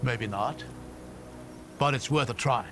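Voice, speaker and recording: An actor playing a thoughtful, kindly older scholar-adventurer in a video game, articulate and wry. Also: male voice, medium pitch, slow pace, close to an everyday voice. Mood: intent, curious. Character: learned, kindly older male scholar-adventurer, wistful about history. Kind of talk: video game cutscene acting. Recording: loud game score behind. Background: music.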